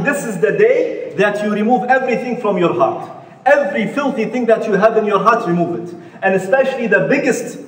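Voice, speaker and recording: High-voiced man preaching in an echoey mosque. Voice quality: plain, steady delivery in English as a second language, with rising emphatic repetitions. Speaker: imam giving the Eid sermon. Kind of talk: sermon. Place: mosque prayer hall with seated congregation. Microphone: stand mic at a pulpit. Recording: echoey mosque hall, voice heard from far off.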